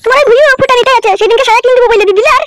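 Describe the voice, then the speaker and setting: Greedy, wary cartoon mother female actor, very high pitch, rapid questioning delivery. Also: fairly even intonation, very noisy. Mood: eager. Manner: blunt, questioning. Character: money-hungry, wary village woman, a mother. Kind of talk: comedy cartoon dub.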